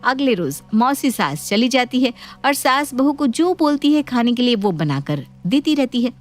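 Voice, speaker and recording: A woman's voice: a little high, sing-song, moderate pace, faint music behind. A woman reading scripted story narration as a storyteller.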